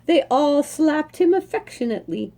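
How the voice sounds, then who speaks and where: A middle-aged woman, a children's librarian, reading a picture book aloud in an expressive storytelling manner. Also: high-pitched, moderate pace.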